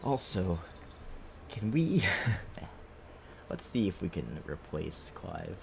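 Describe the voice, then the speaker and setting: A man with a low-pitched voice streams a video game. He talks casually and ramblingly, thinking aloud through his play. A faint noise sits behind his voice. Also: sing-song, slow pace, vocal fry, dark tone. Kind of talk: casual live gameplay commentary.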